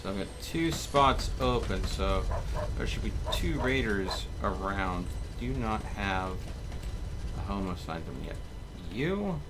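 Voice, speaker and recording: A man giving casual live commentary as he plays a game, thinking aloud in an unhurried way. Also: lowish voice, big pitch swings. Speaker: game streamer. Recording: clear background noise.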